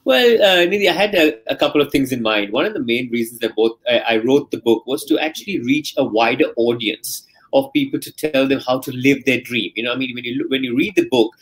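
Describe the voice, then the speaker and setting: A man's voice: medium pitch, a little fast, few pauses, slightly rough, low-quality recording. A man in his forties from India, a guest answering interview questions on a video call in an earnest storytelling way with many "you know" fillers. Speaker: guest, Olympic rider and author. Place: bedroom at home. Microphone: laptop mic on a video call.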